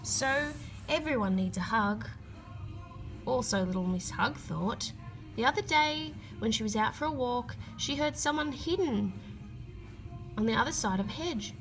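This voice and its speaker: A woman reading a children's picture book aloud, with expressive emphasis and drawn-out key words. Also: on the high side, over music, rough recording. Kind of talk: read-aloud storytelling for children.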